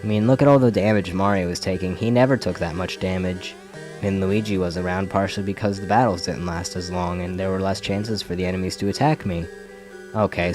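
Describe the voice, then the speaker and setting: A man's voice: low-pitched, a little mellow. A young man with a slight head cold giving casual, rambling let's play commentary, often correcting himself. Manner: rambling, self-correcting, wry. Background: faint game music.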